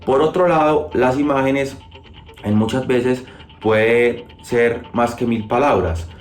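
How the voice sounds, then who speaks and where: A man's voice: medium pitch, slow pace, soft background music. A man giving a prepared, structured opinion as a viewer. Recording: echoey room.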